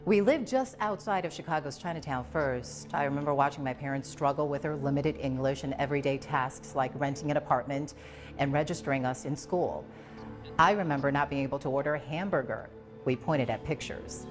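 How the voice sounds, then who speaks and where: Woman from Taiwan, native Mandarin speaker, deep voice, reflective reading, music behind. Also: brisk. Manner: reflective, read-aloud storytelling. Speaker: personal storyteller. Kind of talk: first-person scripted narration.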